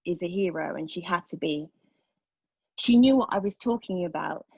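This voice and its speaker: A woman playing a bitter, sardonic pregnant woman in a dramatic monologue, with an intense, deliberate delivery and a husky voice. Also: lowish voice, darkish tone, no room sound. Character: anguished, bitter, sardonic pregnant woman telling her story. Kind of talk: dramatic monologue at a table read. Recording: slightly dull, lo-fi sound.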